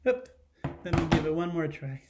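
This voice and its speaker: A man's voice: a little high, lively intonation, moderate pace, very noisy. A middle-aged man giving a children's lesson and read-aloud, speaking in simple phrases and often addressing questions to young viewers. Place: living room at home, indoors.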